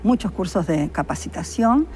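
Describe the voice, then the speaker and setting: A woman's voice: on the low side, expressive, moderate pace, a little mellow, a little hiss or hum. A woman with a Uruguayan accent explaining things conversationally as an expert guest in an interview. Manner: conversational, illustrates points with imagined quotes. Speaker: public health official and microbiologist, interview guest. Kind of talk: spontaneous explanatory interview talk.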